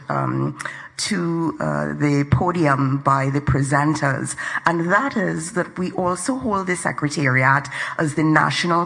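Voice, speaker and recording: A woman's voice: low-pitched, gravelly, a bit bright, rough recording. Trinidadian woman speaking at a floor mic. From Trinidad and Tobago. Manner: polite, articulate and measured. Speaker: audience member at a conference. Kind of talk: formal comment at a microphone. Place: large conference hall. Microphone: floor microphone.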